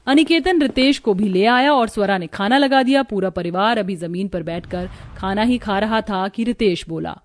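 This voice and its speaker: A woman's voice: mid-range voice, normal speed, hardly any pauses. A woman narrating a scripted Hindi moral story as the storyteller.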